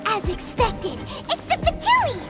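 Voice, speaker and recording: A tiny, chirpy childlike companion from a video game. The voice is extremely high and squeaky and bounces widely in pitch, naive in manner, over loud game music. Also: female voice, huge pitch swings, a little slow, heavily acted. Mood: nervous. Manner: childlike, squeaky, refers to self by name. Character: tiny childlike female companion creature, chirpy, naive, third-person talker. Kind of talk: video game character acting.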